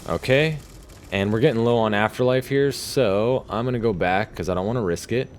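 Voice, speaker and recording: A man with a fairly low voice talks through his own gameplay. He is casual and laid-back, with some swearing, and there is faint noise behind him. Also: normal speed. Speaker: gamer narrating his own gameplay video.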